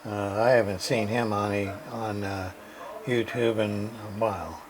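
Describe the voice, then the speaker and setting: Male hobbyist repairer, unhurried and halting, casual off-the-cuff explanation, noisy ambient recording. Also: fairly low pitch, fairly even intonation. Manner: halting, thinking aloud. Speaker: repair hobbyist showing his work. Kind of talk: casual unscripted repair demonstration. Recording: clear background noise.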